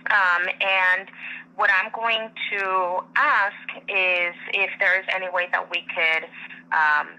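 Woman service agent, crisp bright tone, heard through a dull phone speaker. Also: lowish voice, fairly even intonation, takes it slowly. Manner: polite, professional phone manner. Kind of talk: phone call. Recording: muffled over speakerphone line.

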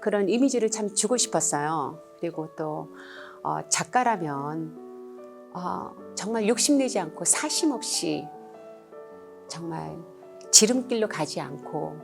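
A woman's voice: fairly low pitch, lively intonation, measured pace, vocal fry, music in the background. A middle-aged or older woman, a painter, answering interview questions in an earnest, polite and reflective way.

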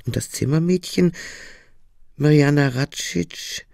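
Businesslike woman prosecutor, creaky voice. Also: low voice, darkish tone, heavily acted. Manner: brisk, official. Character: businesslike, demanding female senior prosecutor. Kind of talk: radio drama acting.

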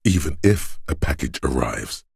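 A man voicing a video game's inner voice of logic, measured, dry and condescending, narrating to the player in a gravelly, husky way. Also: a bass voice, sing-song, a little mellow, no room sound, exaggerated voice. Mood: measured, analytical. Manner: measured, deliberate, dry, superior. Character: inner voice of cold logic, a man's analytical, condescending mind.

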